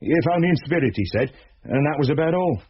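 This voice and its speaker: A man's voice: on the high side, animated, quick pace, husky. An actor playing a flustered, defensive junior policeman in a British radio drama, hesitant and self-justifying. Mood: hesitant, apologetic. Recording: dull, lo-fi radio recording.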